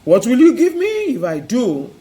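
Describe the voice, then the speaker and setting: A storyteller voicing a strange little man who asks the same questions softly and repeatedly. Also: extremely high pitch, wildly swooping pitch, moderate pace, pitched above the performer's own voice, exaggerated voice. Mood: bargaining. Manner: soft, repetitive questioning.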